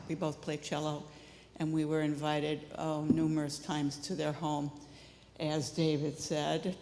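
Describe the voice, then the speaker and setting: An older woman sharing a memory of a friend at a memorial service, halting, with many fillers and restarts. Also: sits low, darkish tone. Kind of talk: informal memorial remembrance. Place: church sanctuary. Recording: echoey church with long reverb and faint noise.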